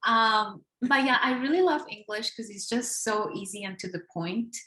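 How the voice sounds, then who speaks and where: A woman's voice: fairly high pitch, moderate pace, bright, forceful tone, reverberant. A young woman from Ecuador with a light Spanish accent, chatting as an interview guest, laughing often and restarting her sentences. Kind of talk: casual interview chat.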